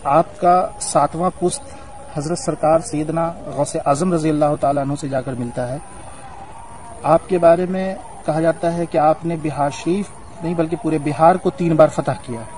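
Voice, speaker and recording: A man's voice: fairly high pitch, a little mellow, rough recording. A man narrating a shrine's history as devotional storytelling on location, in an earnest, reverent, formal manner. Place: outdoors on a hilltop at a shrine. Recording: outdoor recording with clear ambient noise.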